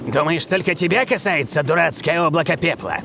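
A man's voice: on the high side, animated, brisk, gravelly, noisy background. A man playing a sneering, boastful young rival footballer in a cartoon dub, always goading. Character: cocky taunting young male rival footballer.

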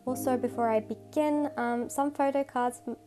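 A woman's voice: high voice, fairly even intonation, normal speed. A woman with a slight cold, narrating a casual voice-over as she shows her collection, chatty and enthusiastic. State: has a cold. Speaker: photocard collector, channel host. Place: recorded separately as voice-over, not in pictured room. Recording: music playing underneath.

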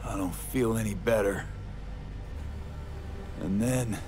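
V, slow-spoken weary street mercenary. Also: male voice, lowish voice, background noise. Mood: haunted, bitter. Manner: terse, blunt, halting street talk. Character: weary, wounded young male street mercenary. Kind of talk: video game voice acting. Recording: music behind the voice.

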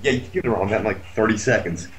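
A man's voice: on the low side, rapid-fire, a little hiss or hum. A man chatting casually about a video game, complaining in a sardonic, foul-mouthed way.

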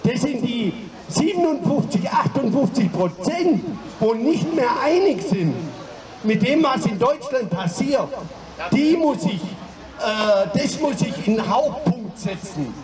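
Male open-mic speaker, slow and measured, high voice, echoey distant street. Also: sing-song, a crowd in the background. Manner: slow, deliberate, with pauses. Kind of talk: protest speech citing numbers. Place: city street outside a broadcast building. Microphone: handheld mic, far camera.

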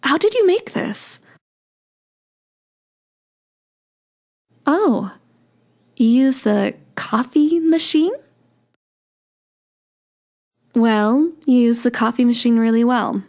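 Woman acting as slow-talking, sweet young celestial girl. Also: fairly high pitch, expressive. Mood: impressed. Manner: coy, slow, gently teasing. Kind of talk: ASMR romance roleplay.

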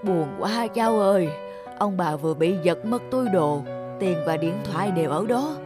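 Gentle elderly grandmother played by an actress, music behind. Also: lowish voice, animated, normal speed, natural voice. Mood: worried. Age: older. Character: elderly grandmother, kind, worried traveller. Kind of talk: animated moral tale voice acting.

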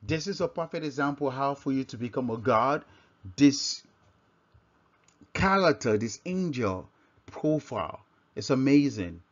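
A man with a Nigerian accent teaches scripture informally at a medium pitch. He speaks haltingly, circling back to repeat and reword his points. The recording is dull and lo-fi. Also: lively intonation. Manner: halting, repeats and rephrases himself. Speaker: religious teacher. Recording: dull, lo-fi microphone sound.

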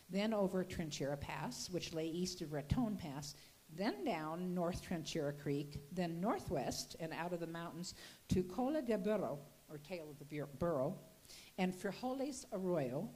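A woman's voice: on the low side, normal speed, darkish tone, rough recording. An older woman giving a folksy, chatty history talk with slides into a handheld mic in a gallery room. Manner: folksy, chatty storytelling with restarts. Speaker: local history speaker.

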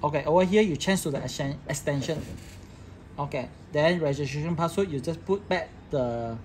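A man's voice: on the high side, normal speed, darkish tone, a little hiss or hum. A man giving an informal hands-on tech tutorial, talking through each step as he works. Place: indoor office workbench with electronic devices.

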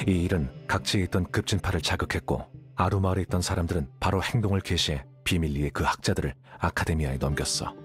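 A man's voice: sits low, close to an everyday voice. A man voicing a cool-headed, analytical young scholar in a Korean game dub, composed and matter-of-fact as he explains. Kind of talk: Korean-dubbed anime game dialogue.

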